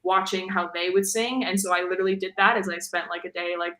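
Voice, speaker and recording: A young woman singer with a voice deep for a woman, chatting casually in an interview and filling her talk with "like" and "um.". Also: steady tone, quick pace, fairly bright tone, echoey room. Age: young adult. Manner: chatty, fills with "like" and "um", laughs easily. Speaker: guest singer.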